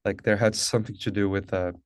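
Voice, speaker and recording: A man's voice: fairly low pitch, fairly even intonation, fast, no echo, clean studio sound. A man from Brazil in his thirties, a Portuguese native speaker, talking about his career as a guest on a video call, upbeat and earnest with lots of "like" and "uh". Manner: upbeat, earnest, many fillers like "like" and "uh". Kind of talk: conversational interview, webinar.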